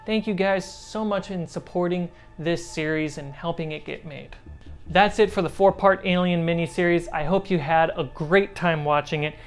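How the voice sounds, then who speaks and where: A woman's voice: on the low side, normal speed, soft background music. A woman who hosts and runs a tabletop game, talking casually after the session. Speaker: game master and host. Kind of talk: casual post-game discussion.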